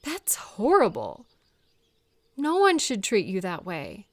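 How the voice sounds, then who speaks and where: A woman playing a caring, slightly awkward friend in a comfort audio roleplay, speaking one-on-one in a gentle, earnest, hesitant and reassuring way. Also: medium pitch, wildly swooping pitch, takes it slowly, no room sound, full character voice. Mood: sympathetic.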